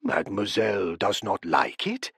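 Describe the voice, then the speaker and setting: A man reading an audiobook, voicing a courteous, probing male professor who speaks softly and gently presses with questions. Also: deep voice, wildly swooping pitch, moderate pace, gravelly, breathy edge, dry recording, clean studio sound, voice pushed down, full character voice. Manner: soft, interested, courteous.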